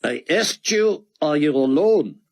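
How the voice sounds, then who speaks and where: A man's voice: on the high side, expressive, slow pace, pitched above the performer's own voice. A man voicing a young foreign peacekeeping officer who speaks with a heavy accent in stilted, commanding phrases. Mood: suspicious.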